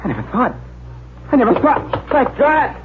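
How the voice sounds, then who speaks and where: Eager high-voiced young crewman. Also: moderate pace, heavily acted. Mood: overjoyed, pleading. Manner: earnest, excitable. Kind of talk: 1950s radio drama acting. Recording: tinny, noisy old radio broadcast.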